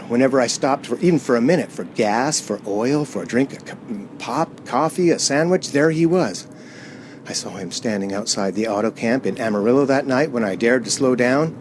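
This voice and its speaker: A man's voice: mid-range voice, noisy background, natural voice. A man playing a frightened, haunted driver in a radio play, agitated and stammering as he pleads and narrates. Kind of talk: radio play acting, dramatic first-person narration.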